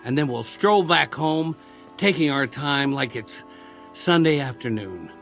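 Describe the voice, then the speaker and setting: An actor playing a lonely, hopeful war survivor in a television drama, talkative and coaxing, with an American accent. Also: male voice, mid-range voice, expressive, a bit bright, faint music behind. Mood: hopeful, excited. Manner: talkative, coaxing, halting when moved.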